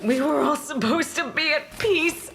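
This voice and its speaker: Woman acting an anguished, slow-spoken female ghost. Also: high-pitched, gravelly, fairly bright tone, slight background noise, full character voice. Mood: anguished, betrayed. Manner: halting, pleading, accusatory. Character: anguished, bitter ghost of a dead mother. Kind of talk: audio drama acting.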